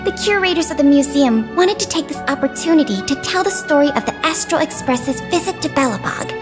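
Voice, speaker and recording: Earnest bookish young woman, video game guide, high lively voice, courteous explanations, music behind. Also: fairly quick. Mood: earnest, concerned. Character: polite young female museum guide and investigator, earnest.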